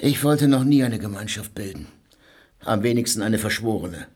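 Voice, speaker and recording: An actor playing a skeptical intellectual in a radio play, speaking in a sharp, analytical and sardonic way. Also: male voice, fairly low pitch, lively intonation, moderate pace, husky. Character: skeptical male intellectual arguing with a conductor.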